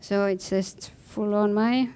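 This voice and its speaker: Clear-voiced woman with an Estonian accent. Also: medium pitch, steady tone, slow pace, dark tone. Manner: blunt, informal, non-native phrasing. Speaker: panel guest, professional snowboarder. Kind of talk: conversational personal account on a panel.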